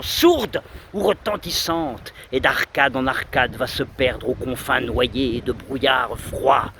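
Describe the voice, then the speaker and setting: Wind buffets the microphone and the sea rumbles around a man reciting verse. His delivery is slow and solemn, with a declamatory weight and long dramatic pauses between phrases. His voice sits at an ordinary middle pitch for a man. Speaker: poetry reciter. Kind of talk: outdoor recitation of a 19th-century poem. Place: outdoors under trees on a windy seashore. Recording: loud wind buffeting the mic, roaring sea.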